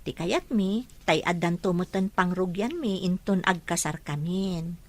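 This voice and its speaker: A woman's voice: on the low side, unhurried. A woman playing a dutiful, kind young daughter in a radio-style drama. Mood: caring.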